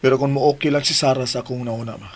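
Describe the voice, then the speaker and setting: A man's voice: mid-range voice, steady tone, quick pace, natural voice. A man playing an aggrieved grown son pleading with his mother in a radio drama, with a Filipino accent. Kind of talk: Cebuano radio drama acting.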